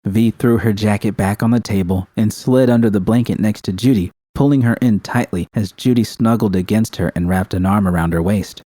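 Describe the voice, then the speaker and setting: Low, mellow man narrating a story. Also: a little fast, hardly any pauses, dry recording. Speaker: narrator of a fan fiction audiobook. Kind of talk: scripted narration.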